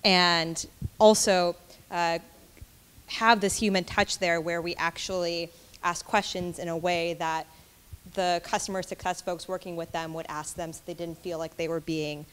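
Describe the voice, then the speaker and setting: A woman's voice: lowish voice, little pitch movement, measured pace, fairly bright tone, clear, resonant tone. A woman product manager explaining her work as a panelist, recorded with long hall reverb.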